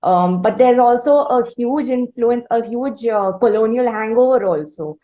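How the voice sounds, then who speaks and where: A woman hosting an interview, phrasing long questions in a measured, careful, conversational way. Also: a little high, lively intonation, dull audio. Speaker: interviewer, podcast host.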